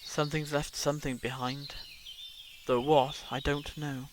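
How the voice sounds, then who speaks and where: A man's voice: a little high, little pitch movement, unhurried, slight background noise, close to an everyday voice. A man playing a wry, protective time-travelling investigator in a fan audio drama. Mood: intent, analytical.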